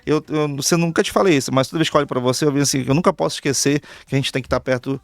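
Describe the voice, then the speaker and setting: A man's voice: on the high side, rapid-fire, no echo. A Brazilian man hosting an interview, talking earnestly in long flowing sentences in a casual chat. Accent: Brazilian Portuguese. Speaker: talk show host and artist manager.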